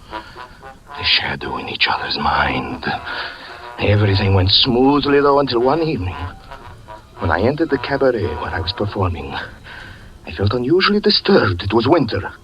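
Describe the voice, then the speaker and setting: A haunted, whispering cabaret performer. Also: male voice, deep voice, highs cut off, full character voice. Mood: brooding. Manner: intense, dramatic, sinks to hushed whispers. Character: haunted devoted male cabaret performer recounting lost love. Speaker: narrator. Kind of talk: 1940s radio acting.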